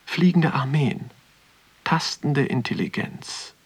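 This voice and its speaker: An actor playing a pensive young man who muses aloud in deliberate, poetic phrases in a radio drama. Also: medium pitch, animated, slightly rough. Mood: solemn, rapt. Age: young adult. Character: pensive, awestruck young man wandering a museum. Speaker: narrator and protagonist of a radio play. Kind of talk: literary interior monologue, radio drama.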